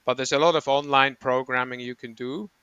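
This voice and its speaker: Swiss man, bright crisp voice. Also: mid-range voice, steady tone, fairly quick, dry recording, dull audio. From Switzerland. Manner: rambling, self-correcting explanations with frequent ums. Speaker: guest, running coach. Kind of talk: conversational interview.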